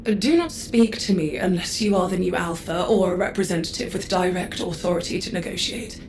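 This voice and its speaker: A woman's voice: lowish voice, fairly quick, vocal fry, background noise. A woman voicing an imperious adjudicator who speaks in a formal, condescending, clipped way. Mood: haughty. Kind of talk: game cutscene dialogue.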